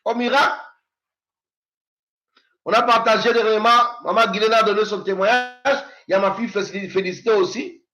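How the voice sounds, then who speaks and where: High bright-voiced preacher man in his fifties. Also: fairly even intonation, moderate pace, roomy sound. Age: 50s. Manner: preaching with swings from loud exhortation to quiet prayer. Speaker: pastor. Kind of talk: sermon.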